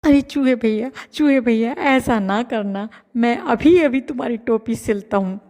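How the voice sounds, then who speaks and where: A woman's voice: on the low side, takes it slowly, in a large hall. A woman doing an irritable tailor. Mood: frightened. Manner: curt and irritable. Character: irritable male tailor, busy and dismissive.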